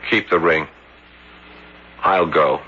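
A man's voice: rumbling low, fairly even intonation, takes it slowly. An actor playing an earnest, hesitant young policeman in love in an old radio mystery. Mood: hurt. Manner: hesitant, pleading, easily amazed. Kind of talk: golden-age radio mystery acting. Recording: dull, noisy old radio recording.

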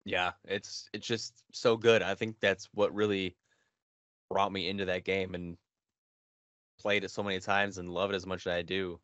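A man in his thirties chatting casually as a podcast co-host, laid-back and halting, on slightly muffled call audio. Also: deep voice, level tone, a bit bright, no room sound. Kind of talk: casual chat about video games. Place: small home room. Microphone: headset, video call.

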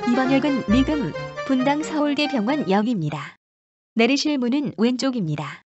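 A woman reading scripted subway announcements as a train announcer, formally and with measured enunciation. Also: high-pitched, lively intonation, highs cut off, over music.

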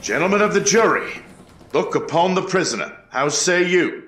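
A colonial court clerk, played by a man, proclaims in a high voice with ceremonial formality. His ritual, declamatory delivery rings in an echoey room, as in a historical trial reenactment. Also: expressive, moderate pace, fairly bright tone. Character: formal eighteenth-century court clerk taking jury verdicts.

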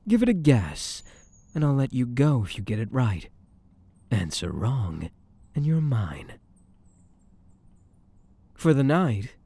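A man's voice: medium pitch, sing-song, slow, long pauses, dark tone, slightly rough, no echo, full character voice. A man playing a smug, possessive, flirtatious mafia boss in an intimate ASMR roleplay, with a teasing, drawling, menacingly sweet manner.

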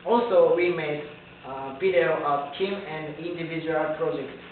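Young male student speaker, Japanese accent, slow halting delivery of a formal speech, tinny and very echoey recording. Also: on the high side. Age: young adult. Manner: halting, careful non-native phrasing. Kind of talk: prepared commencement speech. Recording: tinny, echoey distant recording with faint noise.

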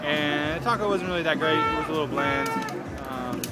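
A man's voice: on the high side, brisk. A young man hosting a casual food vlog, talking into a phone held at arm's length outdoors, laid-back with casual slang. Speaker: food vlogger host. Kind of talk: casual handheld food review. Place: outdoor street festival on a pedestrian mall. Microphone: phone camera held at arm's length. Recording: outdoor festival with loud music and crowd. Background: loud band music and crowd.

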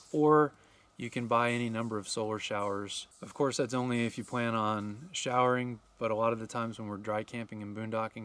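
A man in his forties gives practical camping tips to the camera in a steady, explaining way, speaking outdoors at a wooded campsite. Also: on the low side. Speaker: RV travel vlogger. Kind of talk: instructional vlog to camera.

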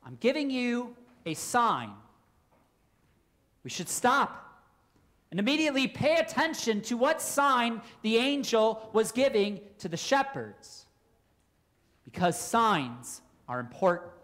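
Casting: male preacher, forties, clear teaching sermon delivery, emphatic, posing questions to listeners. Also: extremely high pitch, very animated, a bit bright. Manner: deliberate, emphatic, explanatory. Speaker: Lutheran pastor. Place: church, at a pulpit indoors.

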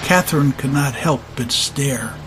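A man's voice: medium pitch, a little slow, a bit bright, slight background noise, lightly acted, rough recording. A man narrating a steampunk adventure audio drama as a scripted, dramatic storyteller. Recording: music under the narration.

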